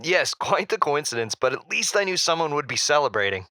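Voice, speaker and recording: A man acts a middle-aged celebrity guest in a radio drama, with a forceful, very bright and fairly high male voice. He is genial and modest, moving with lively pitch through polite, hesitant answers that betray some unease. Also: fairly quick, no room sound, clean studio sound. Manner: polite, hesitant, nervous chuckles. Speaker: guest on a radio quiz show. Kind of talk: audio drama in radio show style.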